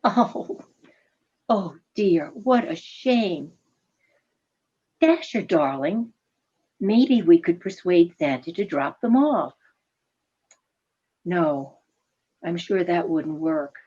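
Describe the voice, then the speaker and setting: Woman as genteel, low-voiced lady reindeer, unhurried. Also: animated, mellow, husky, muffled recording, heavily acted. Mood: solicitous. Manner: genteel, effusive, endearments. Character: gracious, affectionate female reindeer Vixen. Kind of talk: radio play reading.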